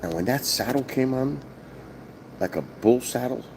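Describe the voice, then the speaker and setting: A middle-aged man from New with a loud voice, telling a rambling, profane story on a casual stream from his hotel. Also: mid-range voice, expressive, measured pace, a little hiss or hum, poor audio. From New York. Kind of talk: casual live-stream vlog. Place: hotel, indoors.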